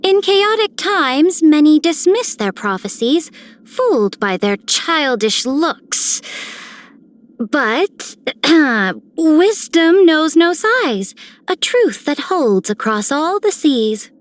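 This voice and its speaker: A woman playing a serene, dignified noblewoman in a video game, formal and measured. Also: sits high, very animated, exaggerated voice. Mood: solemn.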